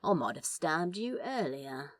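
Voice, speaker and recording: Cheeky male demon played by a woman, low and unhurried, slangy teasing drawl, flirtatious, for a storybook. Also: sing-song, no room sound, heavily acted.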